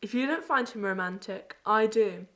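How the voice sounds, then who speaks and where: A woman's voice: medium pitch, animated, normal speed, fairly bright tone, natural voice. A young woman playing a playful, teasing wartime land girl in an audio play.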